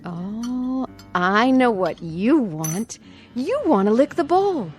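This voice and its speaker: Anxious doting aunt, swooping high voice. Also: female voice, very animated, slow, soft background music. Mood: coaxing, placating. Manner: sweetly coaxing, nervously repeating herself. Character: anxious, doting aunt placating a feared nephew. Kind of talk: radio drama acting.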